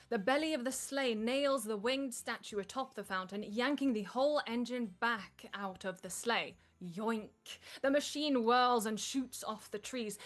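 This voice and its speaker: A woman's voice: a little high, fairly bright tone. A woman reading stage directions as the narrator of a live script table read.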